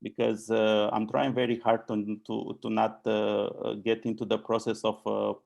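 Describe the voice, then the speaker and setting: Slow, gravelly man. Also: lowish voice, monotone, darkish tone. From Romania. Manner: halting, many fillers and restarts. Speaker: architect and author. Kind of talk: hesitant conversational answers.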